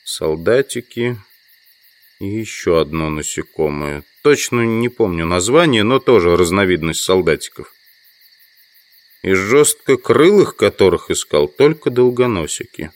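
Reflective scholar, lowish voice, dry close sound, halting musing, dissatisfied, audio drama monologue. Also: male voice, expressive, no room sound. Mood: musing, disappointed. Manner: musing, halting, thinking aloud. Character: weary educated male insect collector, reflective, dissatisfied.

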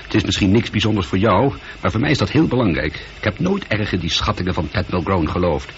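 A man's voice: low voice, very animated, a little fast. An actor playing a weary, irritable, proud astronaut in a radio play, sardonic and volatile in his delivery. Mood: wry, sardonic. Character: weary, irritable, proud middle-aged male astronaut alone aboard. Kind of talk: scripted radio play acting. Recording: dull old radio recording, faint noise.

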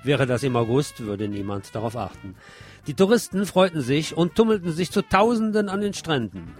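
A man's voice: a little high, very animated, normal speed, faint music behind. A man presenting scripted news in German, reading emphatically with the occasional wry aside.

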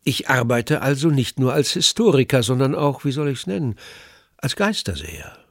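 A husky, measured writer-narrator. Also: male voice, medium pitch, sing-song. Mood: reflective. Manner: measured, polite, explanatory. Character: courteous, inquisitive male writer interviewing the dead. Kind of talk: radio play dialogue and literary narration.

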